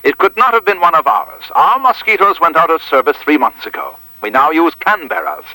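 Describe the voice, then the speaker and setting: A man's voice: fairly high pitch, big pitch swings, brisk, sharp tone, no room sound, voice pushed up, full character voice, rough recording. A man voicing a polite, doubtful RAF meteorologist on the telephone, precise and apologetic. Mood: apologetic, firm.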